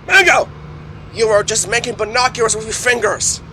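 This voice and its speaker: A man voicing an earnest, excitable, by-the-book alligator secret agent who gives dramatic warnings. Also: high-pitched, normal speed, noisy background. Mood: urgent. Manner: dramatic, urgent warnings that swing into grave explanation. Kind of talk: animated comedy fandub acting.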